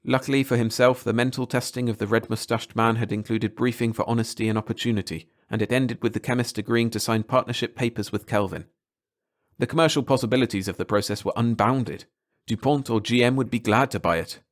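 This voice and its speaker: A man narrating a short story aloud in a steady, clear reading. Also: mid-range voice, fairly quick. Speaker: audiobook narrator.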